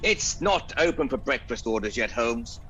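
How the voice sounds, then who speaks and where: Bombastic, self-admiring captain acted by a man, bright forceful voice with big pitch swings, radio comedy. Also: animated, normal speed, lo-fi sound, soft background music. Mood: smug. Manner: self-regarding, melodramatic exclamations. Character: vain, dashing captain, excitable and bombastic. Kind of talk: comic radio drama acting.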